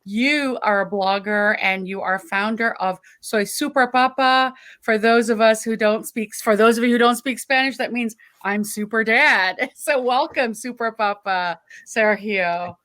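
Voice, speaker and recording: A woman's voice: fairly high pitch, unhurried, hardly any pauses, fairly bright tone. Friendly woman moderating a panel. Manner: friendly, hesitant with ums, laughs lightly.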